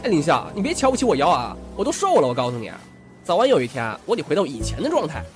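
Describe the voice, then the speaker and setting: A petulant young male foodie played by a man bickering in a comic audio drama. The pitch jumps around a lot as he whines and blusters in slang. Music plays clearly behind the voice. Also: huge pitch swings, fast talker. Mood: blustering. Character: whiny, petulant, food-loving chubby young male friend. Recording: music loud under the voice.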